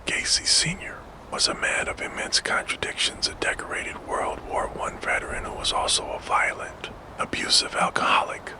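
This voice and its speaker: A man narrating a scripted story in a hushed, gentle ASMR whisper, as the host of a sleep storytelling show. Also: moderate pace, hardly any pauses, rough recording. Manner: hushed, gentle, soothing delivery. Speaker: host and narrator of a true crime sleep channel.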